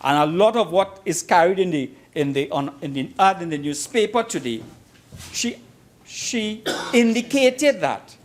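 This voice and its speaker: A man's voice: sits high, lively intonation, a little slow. A Trinidadian man giving a formal parliamentary debate speech as an opposition member, emphatic and oratorical, repeating phrases for effect. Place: parliament chamber.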